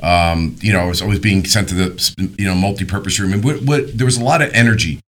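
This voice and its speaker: A man's voice: low-pitched, fast, a bit bright. A middle-aged American man hosting a casual radio and podcast interview, joking and emphatic, often repeating words for stress. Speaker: radio show and podcast host.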